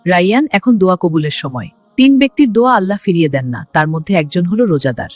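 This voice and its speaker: A woman's voice: fairly low pitch, animated, fast, darkish tone, no echo. A woman playing a loving, devout grandmother who tenderly gives religious advice in a children's cartoon. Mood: tender, instructive. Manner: tender, instructive. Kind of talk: children's Islamic cartoon dialogue.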